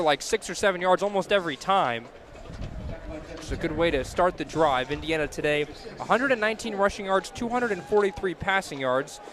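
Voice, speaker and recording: A man calling football play-by-play live on radio, building to excited calls on big plays. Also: a little high. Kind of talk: live radio sports commentary. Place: live football game broadcast.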